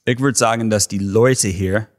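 A man from Texas with an American accent, a football coach being interviewed on a podcast, speaking halting German with English words mixed in and many fillers. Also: lowish voice. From Texas, USA. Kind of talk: casual interview.

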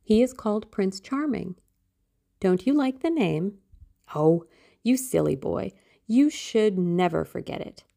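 A woman's voice: mid-range voice, animated, a little slow, vocal fry, mellow. A woman voicing a dreamy, impulsive teenage girl in love, theatrical and exclamatory, in an audiobook. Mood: rapturous. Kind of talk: audiobook character acting.

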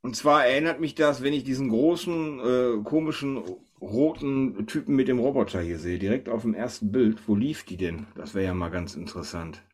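A man's voice: mid-range voice, moderate pace, few pauses, a little mellow, husky. A man hosting a casual panel chat, thinking aloud and often tossing questions to the others. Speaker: podcast host.

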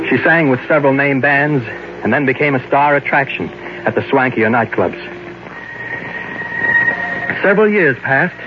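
An actor playing a devoted, earnest newlywed man in 1940s radio drama style, with measured, articulate delivery. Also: mid-range voice, natural voice. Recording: muffled, noisy old radio recording.

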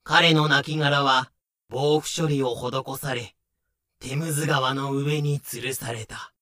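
A man's voice: medium pitch, fairly even intonation, takes it slowly, sharp tone, no room sound, clean studio sound. A man narrating a pirate history audio drama in a solemn, scripted, dramatic style.

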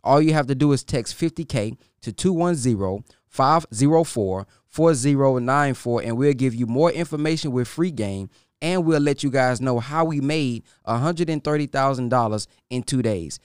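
A man's voice: medium pitch, normal speed, a little mellow, clear, resonant tone, no echo, studio quality. A man reading an upbeat promotional spot in a salesy manner. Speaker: promo announcer.

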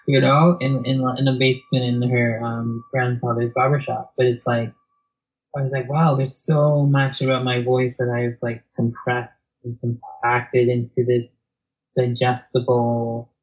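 A soft-spoken man, talking reflectively as an interview guest, hesitant with many fillers and restarts. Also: medium pitch, flat delivery, measured pace, lo-fi sound.

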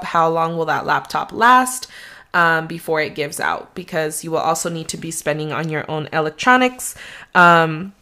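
A woman's voice: fairly low pitch, a bit bright, clear, resonant tone. A young adult woman with a slight Mexican accent, a real estate agent giving rambling, conversational advice on her solo podcast.

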